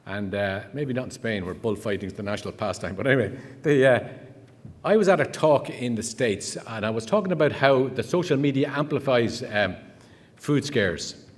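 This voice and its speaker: A man's voice: mid-range voice, animated, moderate pace. An Irish man giving a chatty conference talk, full of fillers, restarts and anecdotes. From Ireland. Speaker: conference speaker. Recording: long hall reverb.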